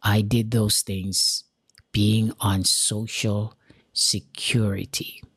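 A Jamaican-accented man from Jamaica giving an earnest, deliberate motivational talk straight to his viewers, repeating key lines for emphasis. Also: on the low side, flat delivery, slightly rough, dry recording. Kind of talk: motivational direct-to-camera talk.

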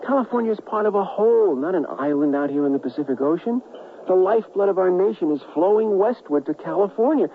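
Animated, earnest reporter, tinny radio. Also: male voice, sits high, fast talker. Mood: impassioned. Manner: oratorical, insistent. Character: earnest, probing, idealistic male newspaper reporter friend. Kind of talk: old-time radio western acting. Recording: tinny, noisy narrow-band radio recording.

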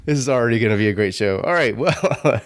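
A man's voice: medium pitch, animated, fast, clean tone, no room sound, clean studio sound. A man hosting a podcast, upbeat and joking as he welcomes guests. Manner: upbeat, joking, laughs often. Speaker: podcast host. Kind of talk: casual podcast interview.

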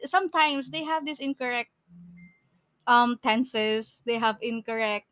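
A woman's voice: fairly high pitch, unhurried, a bit bright, no room sound. A woman from the Philippines with a Filipino accent, co-hosting a podcast in casual chat, laughing often and restarting her sentences. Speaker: podcast co-host.

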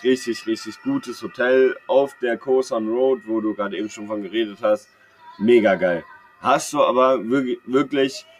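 Young man chatting over clear background noise. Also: medium pitch. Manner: slangy, rambling, jokey. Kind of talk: casual chat, personal anecdotes.

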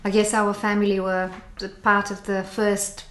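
A woman with a Bulgarian accent and a trace of a New Zealand twang, a writer speaking reflectively as an interview guest, often pausing with fillers. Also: mid-range voice, level tone, a bit bright. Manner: reflective, halting, many fillers. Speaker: author guest. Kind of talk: interview conversation. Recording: echoey room, faint noise.

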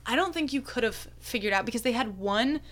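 Young woman, kinda hoarse, rambling story w lots of likes and ums. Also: a little high, lively intonation, brisk, a bit bright. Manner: chatty storytelling, many fillers. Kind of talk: casual chat.

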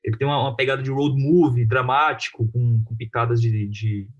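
A man with a Brazilian accent talking informally and haltingly in an interview about his own work, often adding little tag questions. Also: mid-range voice, steady tone, highs cut off. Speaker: film director being interviewed.

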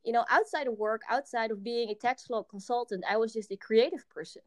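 A woman's voice: on the high side, fairly quick, no echo, studio quality. A young woman from the Netherlands with a Dutch accent, chatting as a podcast guest, hesitant with many ums and frequent laughs. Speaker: podcast guest, software developer. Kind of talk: casual interview chat.

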